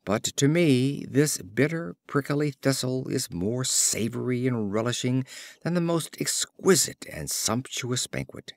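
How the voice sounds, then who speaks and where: A man acts out a humble storybook donkey with a philosophical, mocking streak. The speech is declamatory and archaic, with swinging pitch and a dry, close sound. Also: lively intonation, unhurried, creaky, no room sound. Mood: reflective, contented.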